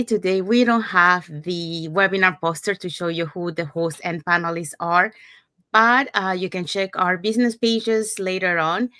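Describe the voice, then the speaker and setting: Woman hosting a casual webinar, voice a bit low. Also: bright, forceful tone, dry recording. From Panama. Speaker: host, digital business owner. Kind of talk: casual live webinar presenting.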